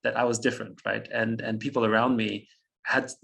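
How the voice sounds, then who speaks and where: A man in his fifties who grew up in Austria, German his first language, speaks as an interview guest. His voice has a gravelly, fry-tinged edge at a middling pitch. He reflects out loud, chuckles now and then and often restarts his phrases. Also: flat delivery, fairly quick. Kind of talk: conversational interview storytelling.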